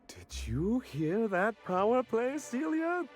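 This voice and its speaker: A man's voice: very high voice, sing-song, slow pace, soft background music, exaggerated voice. An actor playing a flamboyant, vain male corporate executive who talks in a theatrical, florid way full of innuendo. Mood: grandiloquent.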